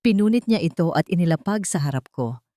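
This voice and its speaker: A woman narrating a scripted first-person drama in a measured, emotive way. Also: lowish voice, expressive, warm tone, no room sound, clean studio sound. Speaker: narrator of audio love story.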